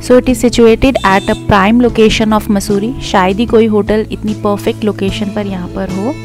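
A woman's voice: mid-range voice, steady tone, slow, music in the background. A young woman, a travel vlogger, giving a chatty hotel tour voice-over and switching between Hindi and English phrases.

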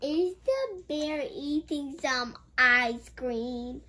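A woman's voice: sits high, drawn-out words, bright, forceful tone. A female host reading a picture book aloud for children, adding playful comments in a childlike, exclamatory, simple sing-song manner.

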